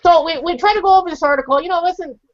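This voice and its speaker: A man's voice: extremely high pitch, racing. Young male English tutor, chatty and self-mocking, on a handheld mic; lo-fi video-call sound. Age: young adult. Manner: chatty, lots of restarts and "you know", jokey. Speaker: English teacher. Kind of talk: casual online group lesson. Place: small home room with bookshelves. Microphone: handheld mic held close on a video call.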